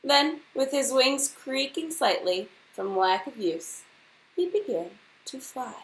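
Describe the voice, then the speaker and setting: Slow-paced woman reading a children's storybook. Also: high voice, low-quality recording. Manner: deliberate, expressive, addresses children directly. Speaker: teacher reading aloud to a class. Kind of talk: expressive children's storybook read-aloud with questions to listeners.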